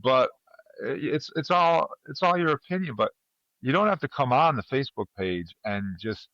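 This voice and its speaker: Animated man with dull lo-fi sound. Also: medium pitch, moderate pace, dry recording. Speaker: podcast host. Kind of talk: casual panel chat.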